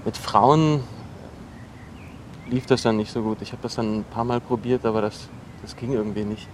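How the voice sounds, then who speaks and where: Actor doing awkward young ex guy, defensive, halting, repeats himself, natural radio drama, bit of hum behind. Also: on the low side, steady tone, a little mellow, close to an everyday voice. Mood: insistent, confessional. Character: defensive, awkward young dropout, oversharing ex-boyfriend. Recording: noisy location recording with ambient hum.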